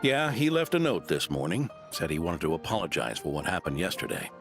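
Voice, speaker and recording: An actor voicing an elderly, kindly knight in a video game, with a warm, measured delivery over game music. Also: male voice, deep voice, sing-song. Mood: calm. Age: older.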